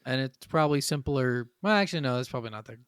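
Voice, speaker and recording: A man's voice: medium pitch, animated, fast talker, clear, resonant tone, no echo, clean studio sound. A man co-hosting a gaming podcast, chatting informally and swearing casually. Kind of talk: casual chat about video games.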